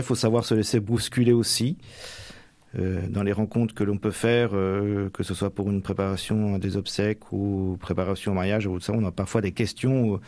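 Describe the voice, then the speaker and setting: A young man from Normandy, a deacon about to become a priest, answering radio interview questions with many pauses and restarts. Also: on the low side, a little mellow, dry recording. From Normandy, France. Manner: hesitant, many fillers and restarts. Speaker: deacon soon ordained priest.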